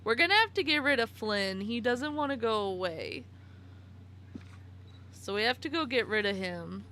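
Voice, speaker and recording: A woman's voice: on the high side, animated, moderate pace, pushed and bright. A woman in her late twenties chatting casually on a live stream while she plays, giggly and talkative, answering her chat by name. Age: 20s.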